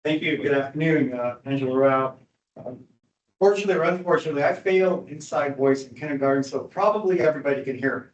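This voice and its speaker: A loud man with a joking manner, presenting to a meeting. The sound is a little muffled, and the room adds an echo. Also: on the high side, quick pace. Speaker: traffic safety presenter. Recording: dull, echoey meeting room audio.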